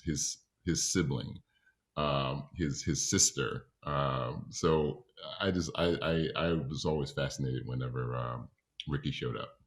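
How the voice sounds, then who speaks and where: A man talking casually on a reunion panel in a halting, thoughtful way. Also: extremely low pitch.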